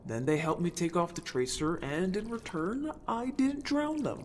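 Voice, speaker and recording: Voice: mid-range voice, very animated, normal speed, background noise, lightly acted. An actor playing an upbeat, bold young merfolk scout in an audio story, chatty, casual and playfully defensive. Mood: playful, exasperated. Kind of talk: audio story character acting.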